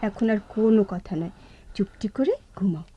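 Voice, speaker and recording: A woman playing a caring, gently firm urban wife in a radio drama. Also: mid-range voice, normal speed, husky, lo-fi sound, lightly acted. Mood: soothing, firm. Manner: soothing, firm. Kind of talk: Bengali radio drama acting.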